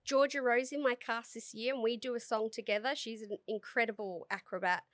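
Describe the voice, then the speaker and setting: Higher-pitched woman from Australia, blunt and sweary, casual chat, dry close sound. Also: steady tone, brisk, no echo. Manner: frank, swears freely, laughs often. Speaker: performer and guest.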